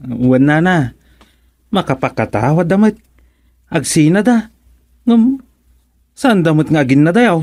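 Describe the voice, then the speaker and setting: Gossipy ilocano villager, medium pitch, dry close sound, chatty and fretful. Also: animated, a little slow, long pauses, darkish tone, no room sound. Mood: concerned, gossiping. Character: gossiping villager fretting over neighbours' separation. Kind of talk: radio serial drama-comedy acting.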